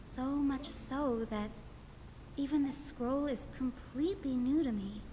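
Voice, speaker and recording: A woman playing a young, knowledgeable, chatty assistant lecturer in a game, articulate and expressive as she explains things. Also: sits high, a little slow, a little hiss or hum. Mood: earnest, regretful. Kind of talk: scripted game dialogue, explanatory storytelling. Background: background music.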